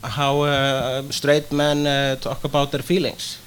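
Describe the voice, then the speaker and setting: A man's voice: mid-range voice, level tone, slow, fairly bright tone, a little hiss or hum. A man co-hosting a podcast on stage before a live audience, teasing in a wry, dry way. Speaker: podcast co-host.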